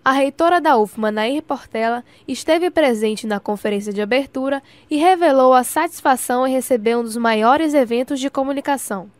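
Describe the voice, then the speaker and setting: High-pitched Brazilian woman reporting news. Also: normal speed, few pauses, dry recording. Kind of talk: scripted radio news report.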